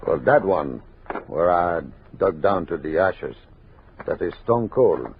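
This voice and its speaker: An actor playing a laconic, cautious frontier army scout who speaks hesitantly and respectfully in a radio drama. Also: male voice, low voice, very animated, slow pace, exaggerated voice. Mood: weighing evidence. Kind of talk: 1960s radio drama acting. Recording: muffled vintage radio recording, noisy background.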